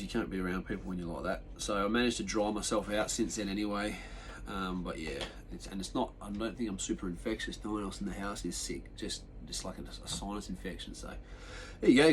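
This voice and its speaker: A lower-voiced Australian man, congested and a little nasal, talks alone into his podcast mic in an easygoing, meandering way. He restarts sentences and fills gaps with ums, with a faint hum of noise behind him. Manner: rambling, laid-back storytelling with frequent ums and restarts. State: nasal and chesty from a sinus infection. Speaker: podcast host. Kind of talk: casual solo podcast chat.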